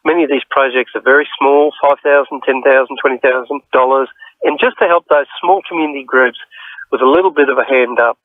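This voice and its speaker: A man's voice: medium pitch, fast. An Australian man speaking as a politician in an unscripted interview over a thin telephone line. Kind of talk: spontaneous interview answer.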